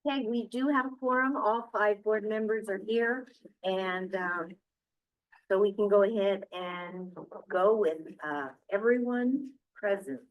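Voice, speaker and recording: A woman's voice: medium pitch, a little slow, recorded from a distance, highs cut off. A woman presiding over a community board meeting, her speech broken by frequent ums and small restarts. She keeps things moving in a plain, conversational way from the head of the table. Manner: hesitant, many ums and restarts. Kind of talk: chairing a public board meeting. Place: community services district meeting room.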